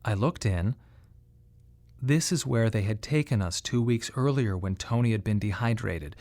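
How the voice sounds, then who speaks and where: A man's voice: lowish voice, normal speed, a little mellow, no room sound, natural voice. An actor playing a weary, bereaved father who tells his story in a reflective, wry radio drama monologue. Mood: weary recollection.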